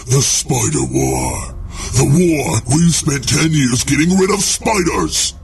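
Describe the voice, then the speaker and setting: A man's voice: mid-range voice, big pitch swings, slow, creaky, soft background music, heavily acted. A man playing a haughty, sarcastic demon lord in a comic radio play, talking down to his underlings. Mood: mocking. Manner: sarcastic, scornful, condescending.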